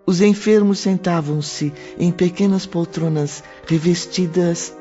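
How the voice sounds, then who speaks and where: Male narrator, scripted storytelling, fairly high voice; muffled sound over a music bed. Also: fairly even intonation, slow pace, dark tone. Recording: dull audio under prominent music.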